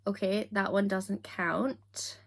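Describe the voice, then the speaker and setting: A young woman from Scotland whose accent drifts toward standard British, talking straight to camera in a relaxed vlog. She is chatty and self-deprecating, with a giggly edge. Her pitch sits mid-range for a woman. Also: takes it slowly. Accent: Scottish, softened toward standard British. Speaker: YouTuber. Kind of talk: casual chat to camera.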